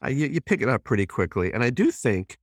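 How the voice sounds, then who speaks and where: A man's voice: mid-range voice, big pitch swings, quick pace, breathy edge, no echo, studio quality. A man in his sixties, a guest on a podcast, giving thoughtful conversational answers with frequent fillers and self-corrections. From born in Hong Kong, raised in US. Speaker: guest, president of a human rights group.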